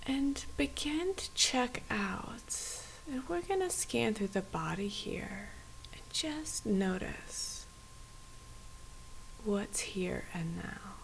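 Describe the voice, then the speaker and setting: A woman guiding a body scan meditation in a soft, often near-whispered voice, with gentle, unhurried phrasing and pauses. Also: mid-range voice, animated, vocal fry, rough recording. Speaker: meditation guide. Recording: faint background hiss.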